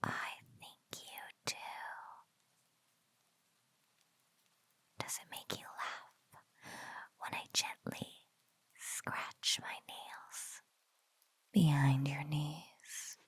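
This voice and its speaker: A woman voicing a sultry, smugly menacing spider-woman bully in an intimate ASMR roleplay, breathy and often slipping into whispers, with mock-pitying coos. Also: deep voice, level tone, extremely slow, long pauses, no room sound, heavily acted. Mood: whispery, teasing.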